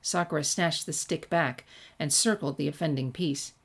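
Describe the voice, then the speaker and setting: Woman with lowish voice, hosts a fanfic reading channel, records at home. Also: moderate pace. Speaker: fan fiction reader, channel host. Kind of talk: scripted story narration and casual sign-off. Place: room at home without soundproofing.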